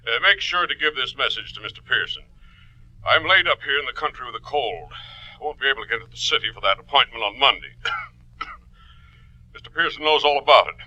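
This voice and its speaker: A man's voice: medium pitch, lively intonation, brisk, long pauses, sharp tone, highs cut off. An actor playing an ailing businessman in a 1940s radio drama, coughing with a cold and giving curt orders. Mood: ailing, bossy. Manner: commanding, curt instructions. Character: sick, self-important businessman laid up with a cold.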